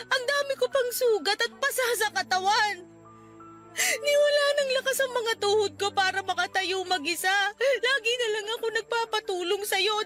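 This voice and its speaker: A woman voicing a gravely ill young woman who tearfully pushes her partner away in a radio drama. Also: very high voice.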